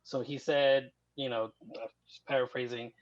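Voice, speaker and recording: Relaxed man, muffled dry recording. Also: medium pitch, measured pace, dry recording. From Mexico. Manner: relaxed, rambling with fillers. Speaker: guest writer. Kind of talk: casual interview.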